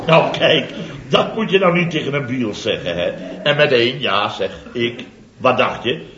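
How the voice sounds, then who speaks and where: An actor playing a boastful middle-aged contractor who tells his story slowly and with plenty of theatre, laughing through his own anecdote. The old broadcast recording has a roomy, slightly dull sound, with an audience laughing in the background. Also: mid-range voice, lively intonation, crowd noise, lightly acted. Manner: chatty, theatrical, laughing while telling. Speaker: building contractor telling a story. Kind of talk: 1970s radio comedy serial acting.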